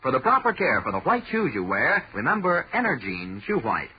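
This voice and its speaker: Lively American man reading radio advertisement. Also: mid-range voice, moderate pace, husky. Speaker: commercial and network announcer. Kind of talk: 1940s radio advertising read. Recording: dull, noisy old radio recording.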